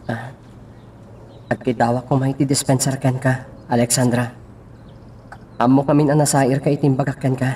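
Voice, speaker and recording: A man's voice: medium pitch, level tone, moderate pace, pauses often, warm tone, slight background noise, close to an everyday voice. A man acting as a hesitant, earnest young suitor in an Ilocano radio drama. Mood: apologetic.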